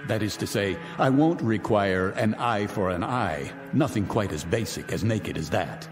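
A man's voice: lowish voice, lively intonation, unhurried, in a large hall, faint music behind. An actor playing a scornful man who taunts his captives in a radio drama, with a mocking, theatrical manner. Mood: commanding.

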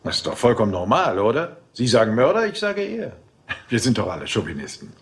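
An actor playing a smug Hollywood gossip reporter in a German radio crime drama, cool, dismissive and clipped. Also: male voice, medium pitch, very animated, a little fast. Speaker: gossip columnist.

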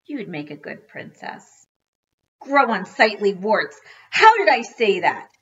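An awkward young storybook boy, acted out by a woman, who gets excitable and dramatic. The recording is dull and slightly muffled. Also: medium pitch, distant mic. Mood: alarmed. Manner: dramatic, with drawn-out words and bursts of exclamation. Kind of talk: animated children's storybook reading.

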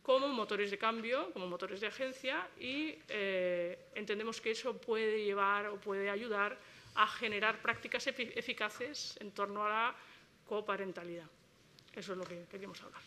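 Woman researcher, conference talk, bright voice, brisk with casual asides, lecture hall, table mic. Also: mid-range voice, slight background noise. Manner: brisk, informal asides and fillers. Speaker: researcher presenting a project. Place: lecture hall with a large audience.

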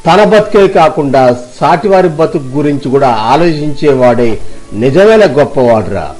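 A man's voice: fairly high pitch, a little mellow, poor audio. A man playing a kindly older uncle who counsels his nephew in a soothing, earnest, fatherly way in a moral story. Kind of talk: Telugu moral story audio drama.

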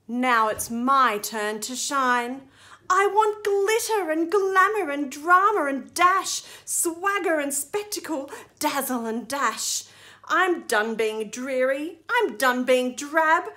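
A flamboyant little hermit crab with big storybook flair, as a woman reading aloud puts him on. His voice leaps high and swoops all over. He declares every line like a showstopper. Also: animated, takes it slowly, pushed and bright, heavily acted. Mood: defiant. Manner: theatrical, bold declaring.

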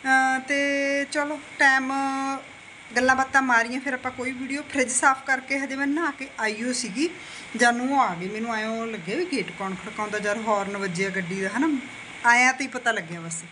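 A woman's voice: a little high, normal speed, bright, forceful tone, slight background noise, rough recording. A woman in her forties with a Punjabi accent, chatting casually to a phone camera at home. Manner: chatty, with frequent tag questions. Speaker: vlogger. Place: home, indoors. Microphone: phone held at arm's length.

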